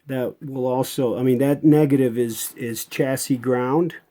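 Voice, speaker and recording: Mellow-voiced man explaining a repair. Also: mid-range voice, fairly even intonation, a little slow. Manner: folksy, explains step by step. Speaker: radio restorer giving a repair video. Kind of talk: unscripted hands-on repair demonstration.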